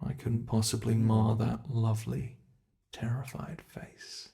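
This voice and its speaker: A man voicing a sadistic, playful ancient monster hunting human prey in an ASMR roleplay, taunting theatrically and slipping into breathy whispers. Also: on the low side, little pitch movement, a little slow, mellow. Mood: sinister, playful. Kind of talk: ASMR roleplay, scripted monologue.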